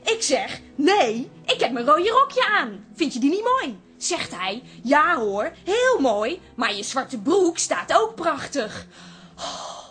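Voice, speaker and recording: A woman's voice: sits high, big pitch swings, slow pace, bright, forceful tone, heavily acted. An actress playing a pert young grown daughter in a radio comedy-drama, complaining and sardonic about the people around her. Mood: exasperated.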